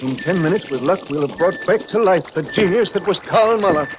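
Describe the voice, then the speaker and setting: An actor playing an obsessed, urgent professor of science in old radio drama, earnest, commanding and melodramatic. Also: male voice, high-pitched, lively intonation, brisk. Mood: urgent, excited. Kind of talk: 1940s radio drama acting. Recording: old radio recording, music behind.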